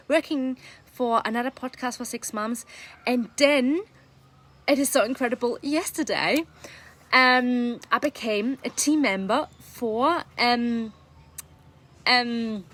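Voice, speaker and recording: Non-native woman with a German first language, raised voice, slow, enthusiastic coaching monologue. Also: a little high, fairly bright tone. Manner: earnest, enthusiastic, many fillers. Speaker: podcast coach. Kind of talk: live video monologue.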